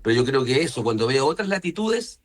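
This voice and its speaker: Man, Chilean accent, medium pitch, senator in interview, explanatory, short questioning tags. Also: big pitch swings, a little fast. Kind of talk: political interview, explaining opinions.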